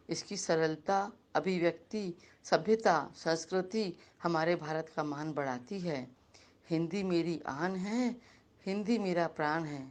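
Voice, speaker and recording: A woman giving a recited speech in praise of a language, slow and earnest. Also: fairly low pitch. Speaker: speaker at a Hindi day event.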